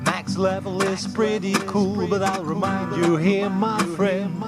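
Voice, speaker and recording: A woman's voice: mid-range voice, steady tone, a little slow, over loud music. A woman singing a podcast theme song.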